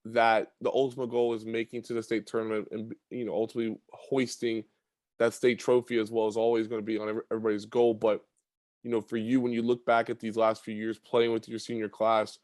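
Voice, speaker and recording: A man hosting a high school basketball podcast, asking long questions padded with fillers. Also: level tone, quick pace, a little mellow, no echo. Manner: chatty, filler-heavy questions. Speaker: podcast host. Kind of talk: sports interview podcast.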